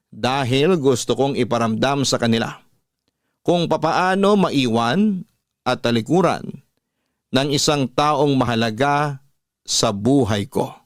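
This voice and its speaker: A man with a Filipino accent reading a personal story as a radio host, in measured, sentimental dramatic narration. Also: medium pitch, no room sound, clean studio sound. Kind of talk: dramatic scripted narration of a letter.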